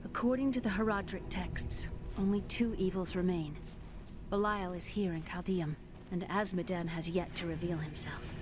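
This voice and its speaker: A woman's voice: lowish voice, normal speed, natural voice. A woman playing an earnest young scholarly adventurer in a fantasy video game. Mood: earnest, informative. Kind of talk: dramatic video game voice acting. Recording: game audio with clear noisy ambience.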